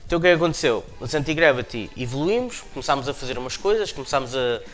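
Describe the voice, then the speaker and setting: Portuguese man in his thirties, relaxed storyteller. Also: mid-range voice, rapid-fire. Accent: European Portuguese, Lisbon area. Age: 30s. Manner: relaxed storytelling, slang, restarts. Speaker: interview guest, dancer. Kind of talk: documentary interview monologue.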